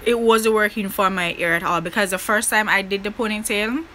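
A woman's voice: mid-range voice, steady tone, a little fast, a bit bright, a little hiss or hum. A young woman talking casually to her camera in a bedroom, upbeat and chatty with her viewers. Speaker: vlogger. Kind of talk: casual vlog talk to camera. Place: small bedroom indoors.

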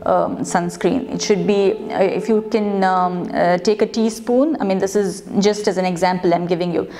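A woman in her thirties, a dermatologist, explaining to camera in a measured way with frequent pauses, recorded with a lapel mic in her clinic office. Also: mid-range voice, dark tone. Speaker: dermatologist, channel host.

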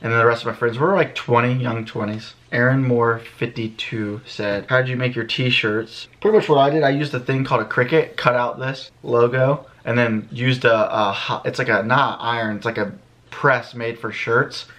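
A man's voice: medium pitch, fairly even intonation, normal speed, a bit bright. An American man in his early twenties talking casually to camera in a laid-back, slangy way, answering questions for a vlog. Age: early 20s. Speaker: vlogger, channel host. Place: small bedroom indoors. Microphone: camera a few feet away.

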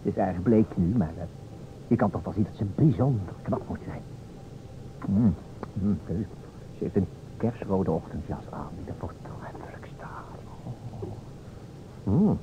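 A man's voice: deep voice, lively intonation, measured pace, long pauses. An actor playing a playful, musing man who improvises scenes for a detective game in an old radio play, speaking hesitantly. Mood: dreamy, admiring. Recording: dull old radio recording, noisy.

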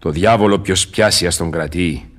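A world-weary old scholar from a radio play, with a low voice. He declaims his verse gravely, bitter yet commanding. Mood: commanding, curious. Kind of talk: Greek radio theatre verse acting.

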